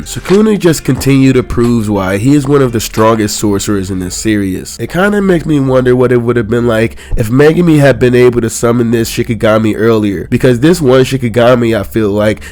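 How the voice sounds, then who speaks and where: A man's voice: mid-range voice, darkish tone. Slightly congested young man recapping casually. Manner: conversational, reacts with quick exclamations. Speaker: manga chapter reviewer.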